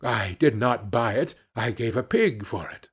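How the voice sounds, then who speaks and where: A man's voice: medium pitch, expressive, measured pace, husky. A man voicing a cheerful, naive young peasant lad in a fairy tale, earnest and full of exclamation. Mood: plain, proud. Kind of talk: fairy-tale storybook character reading.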